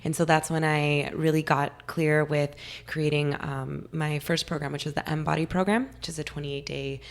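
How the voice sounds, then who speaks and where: A young woman from California, slightly hoarse, talking eagerly as a podcast guest. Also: low-pitched, fairly even intonation, moderate pace. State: a little hoarse. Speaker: guest nutritionist. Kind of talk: casual interview talk.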